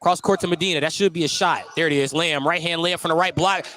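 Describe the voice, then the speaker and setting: An American man works as a basketball play-by-play announcer on radio. His voice sits a little high for a man. He describes the action in short, clipped running phrases. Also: fast talker, pushed and bright, a little hiss or hum. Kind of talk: live radio basketball commentary.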